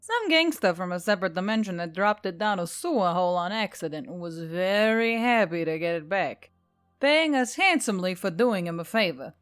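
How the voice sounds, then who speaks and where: A woman's voice: fairly low pitch, lively intonation, normal speed, fairly bright tone, clean tone, no room sound, faint music behind. A woman voicing a cheerful, adventurous female centaur in a storybook, chummy and boastful. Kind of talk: storybook character dialogue.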